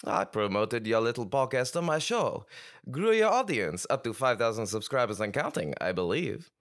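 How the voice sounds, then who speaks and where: Comic villain chef, male: grandiose, smug menace, big swings in pitch. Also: big pitch swings, moderate pace, fairly bright tone. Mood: smug, scheming. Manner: pompous, theatrical, sprinkles French phrases. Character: pompous, sinister male celebrity chef, theatrically villainous. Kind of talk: comic audio sketch acting.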